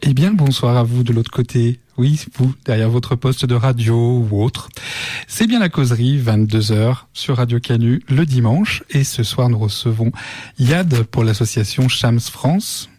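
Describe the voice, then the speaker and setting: A man's voice: medium pitch, unhurried, darkish tone. A man hosting a casual radio talk show, chatting informally and speaking directly to listeners and guests. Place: radio studio. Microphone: close studio microphone.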